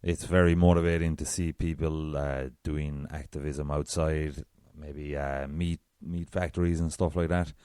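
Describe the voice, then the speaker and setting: An Irish man with a very deep voice hosting a solo podcast, chatty and self-deprecating. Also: level tone, a little slow, few pauses, mellow, clear, resonant tone, dry recording, clean studio sound. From south of Ireland. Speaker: podcast host.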